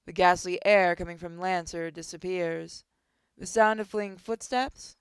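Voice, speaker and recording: A narrator reading visual novel text aloud in a measured, dramatic way over game music. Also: mid-range voice, fairly even intonation, smooth, no echo. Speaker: gaming channel narrator.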